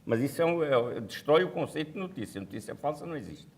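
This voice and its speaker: Animated, emphatic Brazilian professor, male. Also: a little high, fast talker, gravelly. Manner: emphatic, didactic. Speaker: professor on a panel. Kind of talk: panel discussion.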